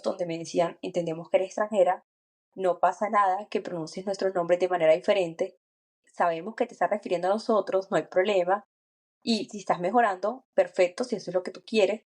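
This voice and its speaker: A woman's voice: mid-range voice, darkish tone. Earnest woman co-hosting a podcast. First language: Spanish. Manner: earnest, encouraging, thinks aloud with small restarts. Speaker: podcast co-host. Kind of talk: reflective podcast chat. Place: lives and works in France.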